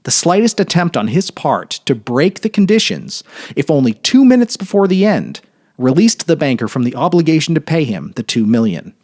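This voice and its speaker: Expressive male storyteller, very varied pitch. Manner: theatrical, measured phrasing. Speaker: storyteller, podcast narrator. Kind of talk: dramatic scripted narration of a short story.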